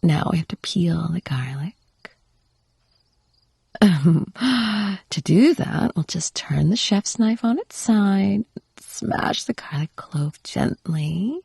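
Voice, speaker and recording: Woman doing a clingy yandere wife, asmr style, super close dry sound, whispery with some vocal fry, doting and softly bossy. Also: lowish voice, expressive, slow pace, no room sound, full character voice. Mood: sweetly instructive. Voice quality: breathy, often slipping into whisper. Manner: coaxing, doting, softly instructive. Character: possessive, doting yandere wife training her captive husband. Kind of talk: intimate ASMR roleplay.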